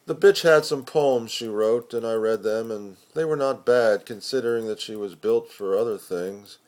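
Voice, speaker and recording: A man giving an expressive poetry recitation with measured, deliberate phrasing. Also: lowish voice. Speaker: poetry reader.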